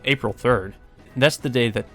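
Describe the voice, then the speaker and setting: A man's voice: medium pitch, normal speed, a bit bright, natural voice. Man reading as a dry, blunt male detective. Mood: dry, puzzled. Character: exasperated, blunt male detective in a visual novel. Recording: music behind the voice.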